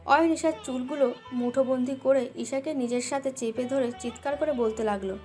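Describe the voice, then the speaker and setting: A woman's voice: high voice, fairly even intonation, moderate pace, dark tone, soft background music. A woman narrating a scripted dramatic love story as its storyteller.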